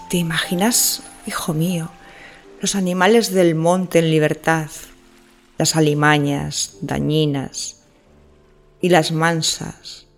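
A woman playing an obsessive, grieving middle-aged mother in an audio fiction monologue, speaking to her son in a hushed, intimate, theatrical manner. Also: sits low, creaky, exaggerated voice.

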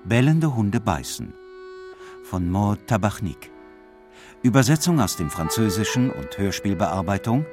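A man announcing radio play credits in a scripted, deliberate reading. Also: fairly low pitch, a little mellow. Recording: guitar music under the voice.

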